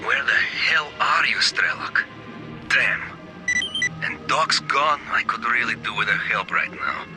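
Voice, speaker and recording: An actor playing a weary, bitter lone stalker in a video game, brooding and grumbling to himself. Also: male voice, medium pitch, expressive, slow, over music. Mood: worried, frustrated. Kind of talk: scripted video game monologue.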